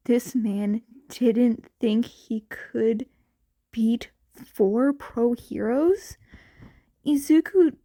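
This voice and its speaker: Slow-paced woman reading a story. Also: on the high side, warm tone. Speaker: amateur audiobook narrator. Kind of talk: expressive scripted fan fiction narration.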